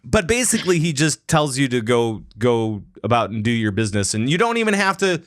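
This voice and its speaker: A man co-hosting a casual gaming podcast, telling a story with lively acted-out lines. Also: medium pitch, fairly quick, dry recording, studio quality. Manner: animated storytelling, acts out characters' lines. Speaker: podcast co-host.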